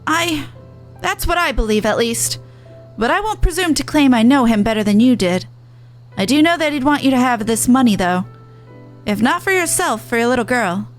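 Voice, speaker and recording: A woman voicing an earnest, plain-spoken woman merchant from a video game, in a brisk, colloquial manner. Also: fairly high pitch, animated, soft background music, natural voice. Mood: earnest, pleading. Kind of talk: reading video game dialogue in character.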